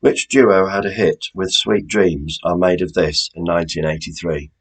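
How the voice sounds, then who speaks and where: A man's voice: low voice, fairly even intonation, normal speed, husky, rough recording. A British man presenting a quiz in a chatty, informal way, as the host reading out questions.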